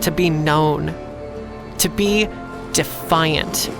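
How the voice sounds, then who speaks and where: Slow, low-voiced defiant heroine. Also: female voice, steady tone, gravelly, over music, exaggerated voice. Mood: reflective, defiant. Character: wry, defiant working-class noir heroine telling her story. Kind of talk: first-person audio drama narration.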